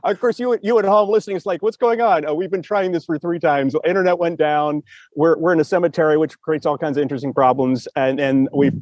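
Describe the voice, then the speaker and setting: A man's voice: fairly high pitch, fairly even intonation, quick pace, darkish tone, dry recording, lo-fi sound. A man co-hosting a podcast with casual, rambling enthusiasm, often repeating his phrases. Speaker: podcast co-host and storytelling teacher. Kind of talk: casual chat. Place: outdoors in a cemetery.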